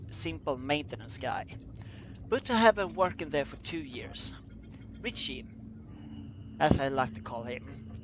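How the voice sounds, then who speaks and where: A man reads a story aloud in a mid-pitched voice. His delivery is slow and halting, and he trips over words like an amateur reader. Some background noise sits behind him. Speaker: amateur fan fiction reader. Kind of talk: scripted story narration, read aloud.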